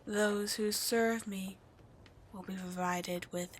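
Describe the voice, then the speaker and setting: A woman playing a slow, taunting demon queen. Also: mid-range voice, steady tone, slight background noise, natural voice. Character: seductive scheming female demon queen. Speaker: voice actor in audio drama. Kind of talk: audio roleplay drama.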